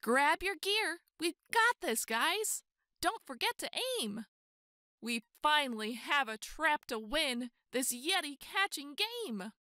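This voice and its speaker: Very animated, high-voiced woman reading a storybook. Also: no room sound, studio quality. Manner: expressive, exclaiming, drawn-out emphasis. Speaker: storyteller reading a children's picture book aloud. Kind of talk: animated children's read-aloud.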